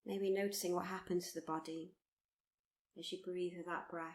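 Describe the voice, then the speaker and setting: A woman leads a guided meditation in a slightly low voice. Her intonation stays very flat and steady. She is soothing and unhurried, gently encouraging the listener and circling back over her phrases. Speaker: meditation teacher leading a body scan. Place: live workshop.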